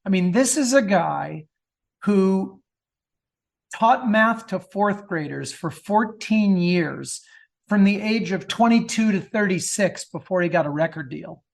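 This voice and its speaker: A man's voice: high voice, lively intonation, unhurried, creaky. A man answering as a guest author in a conversational interview, often repeating words as he thinks aloud.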